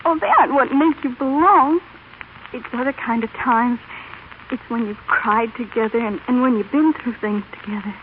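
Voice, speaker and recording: Radio drama: actress as tender, emotional young daughter, high pitch, on a hissy, narrow old recording. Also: female voice, moderate pace, close to an everyday voice. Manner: earnest, emotional, pleading. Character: devoted, tender teenage daughter, earnest and pleading. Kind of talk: 1930s-40s radio drama acting.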